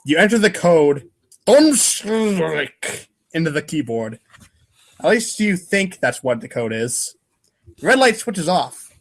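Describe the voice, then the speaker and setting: Man, slightly high voice, wry narrator, amateur dramatic reading, small stumbles over script. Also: big pitch swings, slow. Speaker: narrator of readers' theater show.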